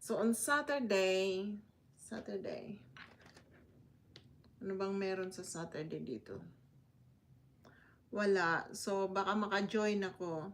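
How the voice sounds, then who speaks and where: A woman's voice: mid-range voice, unhurried. A Filipino woman, a native Tagalog speaker, hosting a casual livestream in a chatty, informal manner as she answers comments. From Philippines. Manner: chatty, informal, switching between Tagalog and English. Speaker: livestream host.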